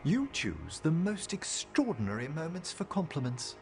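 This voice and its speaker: Game role: scholarly necromancer gentleman, formal and earnest, very varied intonation, music underneath. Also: on the high side, huge pitch swings, moderate pace, vocal fry, exaggerated voice. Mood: composed, fascinated. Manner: formal, eloquent, careful wording. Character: refined, earnest scholarly male necromancer professor. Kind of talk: video game dialogue acting. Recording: music behind the voice.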